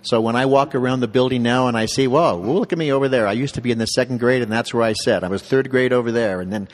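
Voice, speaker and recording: Earnest local man, mid-pitched, interview chat, long reverb on the recording. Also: fast. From Southern Colorado. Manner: earnest, restarts words often. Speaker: community center staff. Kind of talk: casual interview conversation.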